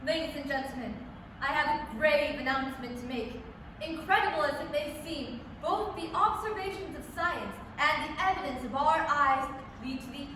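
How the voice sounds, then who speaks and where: Woman doing serious old radio news announcer, high pitched, far from mic in noisy hall. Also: natural voice. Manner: formal, solemn announcing. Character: grave radio news announcer reading urgent bulletins. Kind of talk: staged reading of 1930s radio drama. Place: library hall, staged reading, far microphone.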